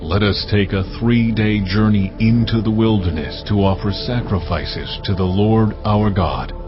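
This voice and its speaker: An actor playing God as a solemn, commanding divine voice, proclaiming slowly and with weight. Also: male voice, lowish voice, close to an everyday voice. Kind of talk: dramatized scripture reading. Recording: muffled, over loud cinematic music.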